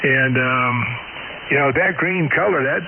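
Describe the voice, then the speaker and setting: A man's voice: mid-range voice, measured pace, vocal fry. Tinny hissy ham radio voice, dry teasing guy chatting casually. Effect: received over shortwave radio. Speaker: amateur radio operator. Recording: hissy, narrow, phone-like shortwave radio signal.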